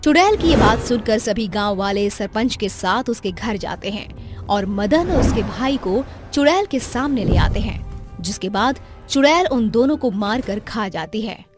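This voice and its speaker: A woman's voice: on the high side, expressive, a little slow. A woman narrating a scripted story as a storyteller. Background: background music.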